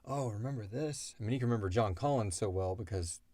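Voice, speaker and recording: A man's voice: fairly low pitch, fast, dark tone, dry recording. A man co-hosting a podcast, answering fan questions in a casual, rambling and joking way about the story he created. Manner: rambling, joking explanations with acted-out quotes.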